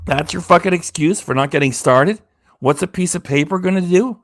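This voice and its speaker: A man's voice: high voice, moderate pace, no echo, clean studio sound. A man hosting a reaction livestream, ranting with sarcasm and swearing, tired and losing his voice. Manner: sarcastic, indignant, swears often. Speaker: reaction channel host.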